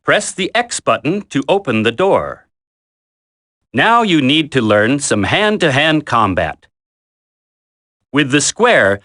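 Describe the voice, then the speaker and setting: An actor playing a military instructor in a video game tutorial, coaching a recruit in a firm, deliberate, commanding way. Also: male voice, medium pitch, sharp tone, heavily acted.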